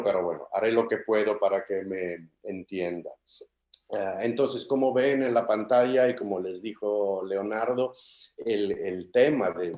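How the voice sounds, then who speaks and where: Guy in his 50s lecturing on a webcam, really low voice, lots of ehs, roomy echo. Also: darkish tone. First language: Italian. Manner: hesitant, many fillers and restarts. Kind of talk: academic lecture over video call. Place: home study with bookshelves. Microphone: video call webcam.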